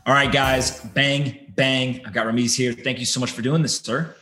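A man hosting a podcast, talking in an upbeat, energetic and casual way. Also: medium pitch, normal speed, faint music behind. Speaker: podcast host. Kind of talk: casual interview chat.

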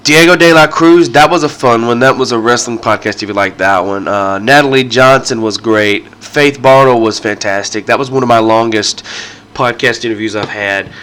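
A male podcast host who sounds stuffed up and nasal from a sinus infection. His tone is bright and sharp, and he chats loosely through the intro, circling back and restarting his sentences. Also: medium pitch, moderate pace, clean tone. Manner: rambling, with frequent ums and restarts.

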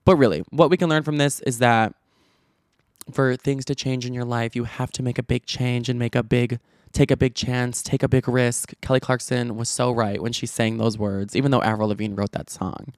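A man with a stutter chats and gossips as a podcast host, his voice low and gravelly. He fills his talk with likes and restarts, at a middle pitch. The sound is dry and close. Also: steady tone, normal speed, no room sound. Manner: chatty, gossipy storytelling with many likes and restarts. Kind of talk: casual chat.

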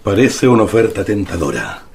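A man playing a courteous, formal gentleman doing business in a radio drama, polite and sympathetic. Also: on the low side, big pitch swings, moderate pace, vocal fry, slight background noise. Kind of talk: Spanish-language radio drama acting.

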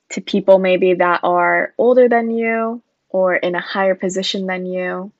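A woman's voice: medium pitch, no echo, dull audio. A young woman from Japan talking earnestly in a casual conversation, thinking aloud with frequent fillers. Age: young adult.

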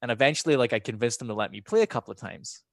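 A man's voice: mid-range voice, racing, creaky, no echo. A man from Scotland with a half Scottish, half American accent, chatting casually as a podcast guest and telling stories in a rambling way. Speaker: podcast guest, former pro gamer. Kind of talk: casual chat, personal storytelling.

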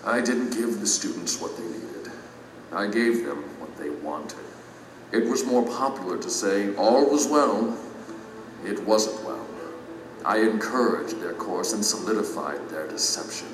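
An actor playing a humbled, regretful former teacher in an audio drama, speaking solemnly and confessionally. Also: male voice, on the low side, unhurried, far from the mic, background noise, natural voice. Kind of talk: allegorical audio drama acting. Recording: echoey hall, music behind, off mic.

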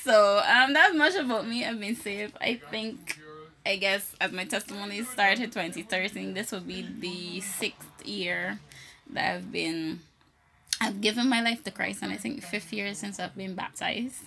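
A woman's voice: mid-range voice, measured pace, gravelly, bright, forceful tone. A woman in her thirties with a Guyanese accent, chatting casually and laughing about her faith as co-host.

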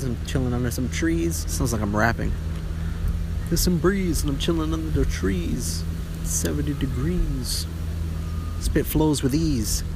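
A young man talking casually about his plans and his life, swearing often, his voice sometimes cracking. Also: mid-range voice. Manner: laid-back, swears a lot, voice cracks. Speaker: vlogger. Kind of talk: casual rambling monologue. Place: outdoors in a park, then a car. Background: construction and traffic noise.

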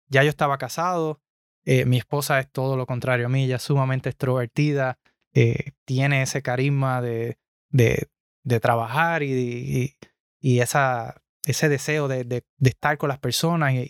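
A man's voice: medium pitch, steady tone, normal speed, clear, resonant tone, no echo, clean studio sound. A young man from Puerto Rico with a Puerto Rican Spanish accent, speaking earnestly on a casual podcast. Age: young adult. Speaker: podcast co-host.

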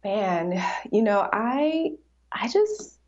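A woman's voice: mid-range voice, very animated, extremely slow. Reflective woman artist, dull lo-fi sound. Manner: warm, reflective, laughs often. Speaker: artist guest. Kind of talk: casual interview chat.

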